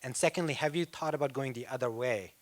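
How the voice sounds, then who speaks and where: A man in the audience at a live event, asking a question haltingly and restarting his words. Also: medium pitch.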